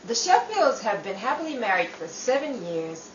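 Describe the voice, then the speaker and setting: A woman playing a chatty, playful host introducing a theatrical 1930s radio show, with dramatic pauses. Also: fairly high pitch, sing-song, roomy sound, distant mic, lo-fi sound, a little hiss or hum. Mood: playful, teasing.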